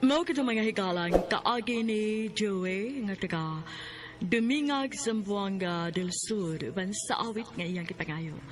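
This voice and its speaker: A woman's voice: medium pitch, a little slow. A woman with a Cebuano Filipino accent hosting a radio drama, reading a scripted song dedication. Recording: loud music over the voice.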